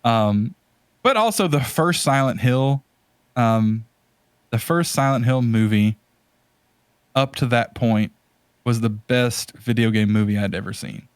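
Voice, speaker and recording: A man hosting a podcast in casual conversation, talking in a halting, rambling way as he searches for words. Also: medium pitch, smooth, dry recording, studio quality. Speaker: podcast host.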